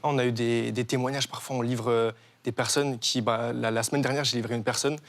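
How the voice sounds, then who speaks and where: A man's voice: medium pitch, flat delivery, brisk. A young man, guest on a TV talk show, explaining his charity with many fillers and restarts. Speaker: guest, association co-founder. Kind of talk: TV studio interview.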